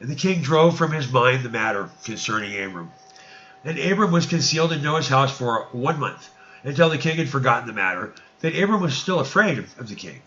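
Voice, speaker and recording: A man narrating archaic scripture as a dramatic reading, deliberate and theatrical, leaning on drawn-out, emphatic phrases. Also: medium pitch, lively intonation, pushed and bright, muffled recording.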